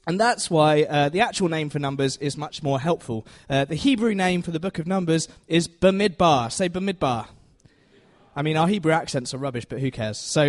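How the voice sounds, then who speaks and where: A man giving an informal, conversational teaching sermon, self-deprecating and often checking in with his listeners. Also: high voice. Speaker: preacher giving a Bible talk to a congregation.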